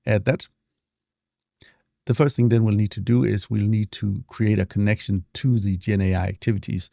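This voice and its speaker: A man walking through a software demo in a relaxed, explanatory way, with frequent fillers, speaking close to a desk mic. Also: lowish voice, creaky, no room sound. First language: not English. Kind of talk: screen-share tutorial walkthrough.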